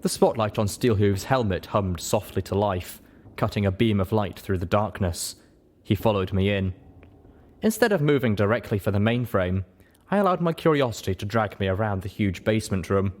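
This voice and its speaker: A man's voice: on the low side, lively intonation, moderate pace, darkish tone. A man reading scripted first-person story narration as an audiobook-style narrator. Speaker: narrator of a fan fiction reading. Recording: faint background noise.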